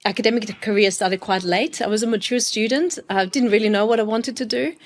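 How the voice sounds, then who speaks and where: A woman's voice: mid-range voice, a little fast. A woman from Austria, a university executive, answering interview questions in an articulate, earnest way with occasional restarts.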